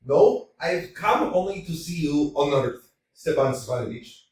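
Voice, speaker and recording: A man's voice: a little high, animated, unhurried, darkish tone, a little hiss or hum. A man playing a flustered, stammering thirty-five-year-old suitor who worries about his health, in a staged play reading recorded from a distance in a roomy hall. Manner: stammering, flustered, nervous laughs. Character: nervous anxious 35-year-old suitor, hypochondriac.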